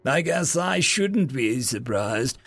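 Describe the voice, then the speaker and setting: Man acting elderly male noble villain, gruff, smug, boastful, animated pitch, dry audiobook sound. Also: takes it slowly, no echo, faint music behind, heavily acted. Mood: boastful, menacing. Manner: pompous, boastful.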